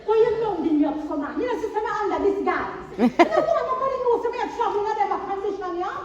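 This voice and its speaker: Distant echoey hall, woman as shrill-high snooty traveller, affected, indignant, stage comedy. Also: expressive, fast talker, a little mellow. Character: haughty traveller feigning not to know an old acquaintance. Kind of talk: comic stage pantomime acting. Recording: dull echoey hall from far off. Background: audience crowd.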